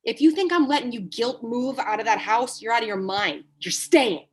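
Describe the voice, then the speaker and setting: A woman's voice: on the high side, lightly acted. A woman reading the part of a brash, foul-mouthed, washed-up male Hollywood agent at a comedy table read. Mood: stubborn, defiant. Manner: blunt, profane, halting when cornered.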